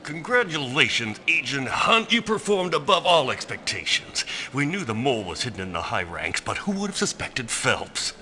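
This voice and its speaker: Smug spy boss, bright voice. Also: male voice, mid-range voice, very animated, moderate pace, few pauses, a little hiss or hum. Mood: smug, congratulatory. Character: smug, glib male spy agency boss. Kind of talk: video game voice acting.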